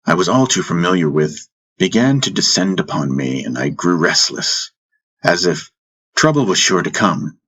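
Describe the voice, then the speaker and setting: A man narrating a first-person fantasy audiobook in a measured way, with dramatic pauses. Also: deep voice, a bit bright. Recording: music bed under the voice. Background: background music.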